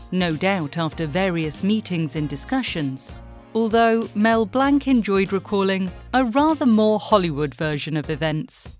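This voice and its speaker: A woman reading scripted documentary narration in a measured, formal, explanatory way. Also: mid-range voice. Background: faint music.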